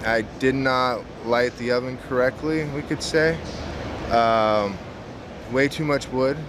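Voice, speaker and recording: A man's voice: medium pitch, slow. A man in his thirties, a pizza business owner, answering interview questions casually with many "um" fillers, recorded close up at a trade show. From San Diego, California. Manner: relaxed, frequent "um" fillers, earnest. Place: large indoor trade show hall. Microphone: camera close to face. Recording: noisy expo hall background.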